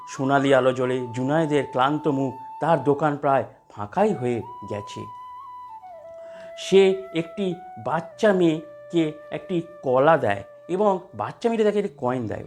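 Animated male storyteller with a raised pitch. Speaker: narrator. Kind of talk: scripted storytelling narration for an animated tale. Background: music.

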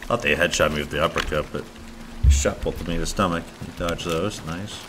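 A man with an extremely low voice talks to himself through a match, muttering plans and swearing under his breath. Heavy rain and game sounds wash loudly behind his voice. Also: a little fast, background noise. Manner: muttering, profane, thinking aloud. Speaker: gameplay streamer. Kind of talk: casual gameplay commentary.

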